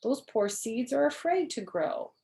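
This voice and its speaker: A woman voicing a sensible, patient male frog from a storybook, speaking in a gentle, reassuring, explanatory way. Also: a little high, expressive, unhurried, creaky, pitched above the performer's own voice.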